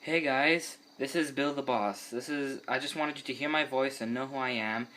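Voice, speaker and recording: A man introduces his video in a casual, stumbling way. His voice is bright and forceful, and a faint hiss sits under it. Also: mid-range voice, moderate pace. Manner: hesitant, stumbling. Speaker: video uploader.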